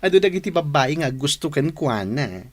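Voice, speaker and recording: A teasing best friend in an Ilocano radio drama. The delivery is very animated, with big swings of pitch. The manner is nosy and playful. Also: normal speed.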